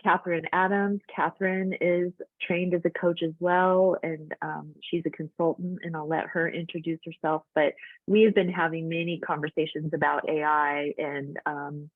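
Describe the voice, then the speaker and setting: Woman host rambling along on a webinar, low voice, dry tinny call audio. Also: flat delivery, moderate pace, no echo. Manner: loose, chatty, run-on sentences. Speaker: life coach hosting a webinar. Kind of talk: casual webinar presentation. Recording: thin phone-like audio, dry.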